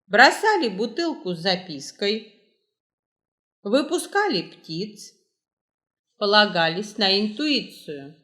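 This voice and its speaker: Bright-voiced, slow, expressive woman quiz host. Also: mid-range voice. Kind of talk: scripted quiz narration, reading aloud.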